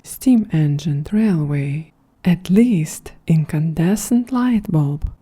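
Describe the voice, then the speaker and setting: A woman voicing a calm, quietly grandiose female android in a breathy, half-whispered, soft-spoken ASMR roleplay. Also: fairly low pitch. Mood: measured, lecturing. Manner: slow, measured, solemn delivery.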